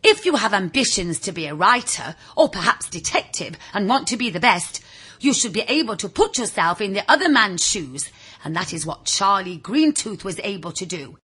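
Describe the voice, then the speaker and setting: A woman reading a children's story in a theatrical, confiding way, with a husky, raspy voice, speaking directly to the listener. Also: medium pitch, expressive, normal speed, bright, forceful tone. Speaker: audiobook reader. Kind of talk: animated scripted children's story narration.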